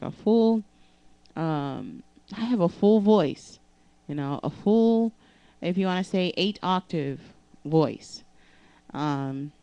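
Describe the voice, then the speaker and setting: A young woman vocal coach with a deep speaking voice, talking casually and haltingly with many fillers into a handheld mic in her living room. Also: gravelly, mellow, no room sound, highs cut off. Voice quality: low speaking voice. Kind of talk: casual vocal lesson vlog. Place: living room with couch and keyboard. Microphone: handheld microphone.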